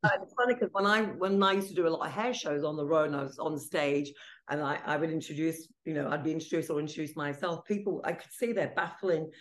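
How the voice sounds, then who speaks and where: Chatty middle-aged British woman, lowish voice. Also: quick pace. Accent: British English. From England. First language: Italian. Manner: chatty, storytelling, light joking asides. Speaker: podcast guest, hairdresser. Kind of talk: casual interview chat.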